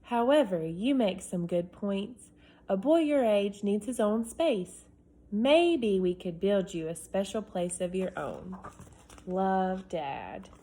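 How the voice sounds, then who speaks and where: A woman voicing a patient father who answers his son's letters with calm, gently teasing replies. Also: mid-range voice, takes it slowly. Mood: dry. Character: patient, joking father writing back to his son.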